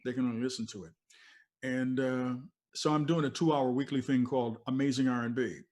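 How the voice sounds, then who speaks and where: A man's voice: medium pitch, fairly even intonation, brisk, darkish tone. An older American man who worked as a radio DJ, telling stories casually in an emphatic, explanatory way that often wanders off. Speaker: veteran radio DJ, interview guest. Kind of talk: casual conversational storytelling.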